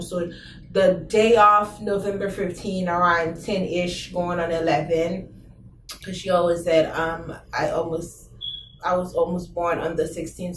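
A young woman from Haiti with a Haitian accent, telling stories casually to camera in a small room, animated and laughing, full of rhetorical questions. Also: lowish voice, slight background noise. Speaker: vlogger. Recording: roomy echo, slightly distant mic.

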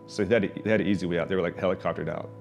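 A man's voice: sits low, fairly even intonation, racing, creaky, highs cut off, faint music behind. A middle-aged man from Oklahoma City whose first language was Vietnamese, telling his skating story in a loose, laid-back way, full of "like" and swearing. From Oklahoma City, USA. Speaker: professional skater, interviewee. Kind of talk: casual interview storytelling. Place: his restaurant.

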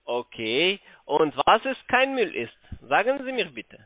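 A man with a foreign accent, not a native speaker, making a halting phone sales pitch with frequent tag questions. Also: big pitch swings, dry recording. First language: not German. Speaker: telephone sales caller pitching investments. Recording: narrow tinny phone line.

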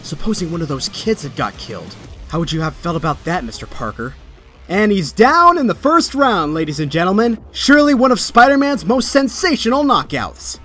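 An actor playing a young, wisecracking but stressed superhero in a comic dub, with a sardonic, conversational delivery. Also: male voice, high voice, very animated, normal speed, fairly bright tone, soft background music. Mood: tense narration, then cocky taunting. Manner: sardonic, conversational inner monologue. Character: young male superhero, wisecracking yet stressed and self-doubting. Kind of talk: dramatic comic dub acting.

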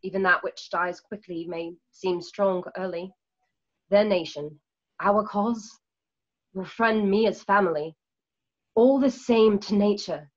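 A woman's voice: mid-range voice, slow pace. A woman playing a solemn, idealistic rebel in a radio play, earnest and oratorical, over a video call. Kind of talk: remote radio play reading. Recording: dull lo-fi video call audio.

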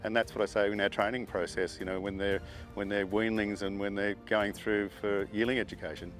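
A man's voice: fairly low pitch, level tone, fairly quick, faint music behind. A middle-aged man, a horse farm owner, talking casually in an interview, rambling with fillers and restarts.

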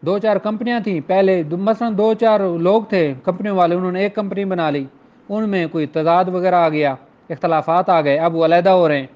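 A man's voice: high-pitched, moderate pace. A man with a Pakistani accent giving an informal law lecture, explaining things and mixing in English legal terms. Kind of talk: informal lecture, reading statutes aloud and explaining them. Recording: dull lo-fi recording.

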